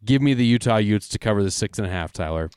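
Co-host, man, playful bettor, smooth dry voice. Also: lowish voice, expressive, fast talker, darkish tone, no room sound. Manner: jokey, hypes with "baby". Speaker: podcast co-host. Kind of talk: casual sports betting chat.

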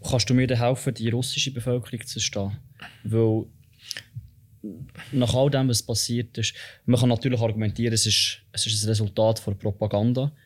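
A man interviewing a guest, asking measured questions while searching for words. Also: medium pitch, little pitch movement.

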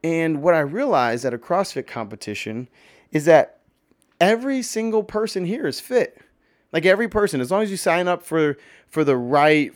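A man with a slightly hoarse voice, talking in a casual, rambling opinion monologue full of fillers, with emphatic bursts and swearing. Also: medium pitch.

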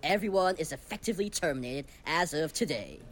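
A man acts out a doddery-minded company boss for a comedy audio play. He rambles and loses his thread, cheerfully oblivious and tactless, with pitch that leaps about in a very lively way. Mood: rambling, oblivious. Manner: halting, forgetful, cheerfully oblivious. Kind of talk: comic audio play acting.

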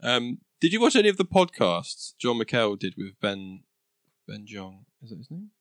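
A man's voice: mid-range voice, big pitch swings, moderate pace, fairly bright tone, no echo, clean studio sound. A man co-hosting a podcast in easygoing casual chat, often laughing between remarks. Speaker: podcast co-host.

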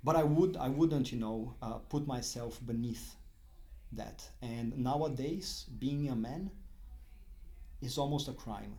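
A middle-aged man from Brazil with a Brazilian accent, a guest in a casual interview, speaking haltingly with many restarts and fillers. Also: medium pitch, dark tone.

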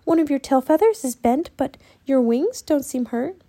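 A woman's voice: high-pitched, lively intonation, moderate pace, warm tone, voice pushed up. A woman voicing a kind, spirited girl adventurer in a storybook, speaking in an earnest, caring way. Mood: gentle.

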